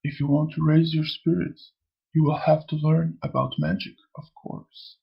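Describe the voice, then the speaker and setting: A man voicing a sly, amused old spirit in a motion comic, lecturing theatrically in a gravelly, husky voice. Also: fairly high pitch, level tone, moderate pace, muffled recording, exaggerated voice. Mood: preaching. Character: sly, amused old male spirit who preaches and taunts. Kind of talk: scripted motion comic dialogue.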